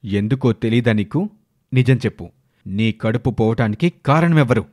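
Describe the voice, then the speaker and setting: A man acting a domineering village husband in a dramatic animated moral story. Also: medium pitch, moderate pace, natural voice. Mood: furious. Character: domineering village husband, scheming then wrathful.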